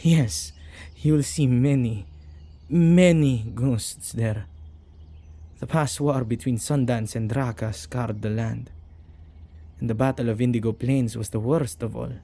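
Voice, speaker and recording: A husky, low-lit male witch acted by a man talks intimately to one listener in an ASMR roleplay. His gravelly voice is dark and mellow, with hesitant pauses and teasing asides. He comes across as a caring, excitable keeper of spirits. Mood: troubled, grave. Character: possessive male witch who befriends ghosts, excitable and caring.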